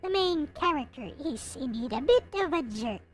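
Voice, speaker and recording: A woman reading a visual novel aloud in an expressive, theatrical way, with some casual commentary. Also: high voice, normal speed. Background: background music.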